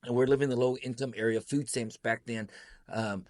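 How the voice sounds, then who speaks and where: A man in his fifties, born in Vietnam and raised in Kansas and Texas, telling his life story as an interview guest in an animated, joking way with laughs mixed in. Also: medium pitch, fairly quick, no echo. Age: 50s. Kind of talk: casual storytelling.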